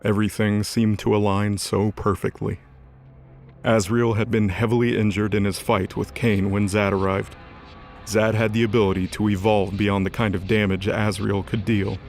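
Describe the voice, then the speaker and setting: A man's voice: on the low side, flat delivery, moderate pace, a little mellow. A man narrating a scripted fantasy drama as a storyteller. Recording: music under the voice.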